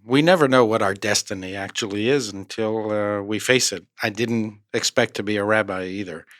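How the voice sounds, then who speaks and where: A man's voice: lowish voice, normal speed, fairly bright tone, dry recording, clean studio sound. A man hosting an interview, posing thoughtful questions and reflections to his guest. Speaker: podcast host, rabbi.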